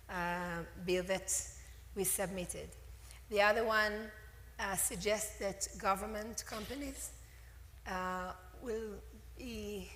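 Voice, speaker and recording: A middle-aged woman who has lost her voice, speaking on a conference panel with halting, word-searching English. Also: mid-range voice, a bit bright. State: hoarse, losing her voice. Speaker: panelist, legal and government relations lead. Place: conference hall. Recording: echoey hall with faint ambience.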